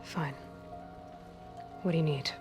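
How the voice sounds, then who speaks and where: A woman's voice: deep voice, steady tone, takes it slowly. An actress playing a determined, principled young female warrior in a video game, speaking in a blunt, terse, challenging way. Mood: defiant. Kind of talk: dramatic video game cutscene acting. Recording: dramatic music behind the voice.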